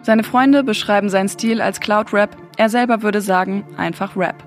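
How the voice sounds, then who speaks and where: A woman reporter narrates a radio feature in a clear, steady read. Her voice has a gravelly, creaky edge at an ordinary pitch. Soft music plays quietly behind her. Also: moderate pace.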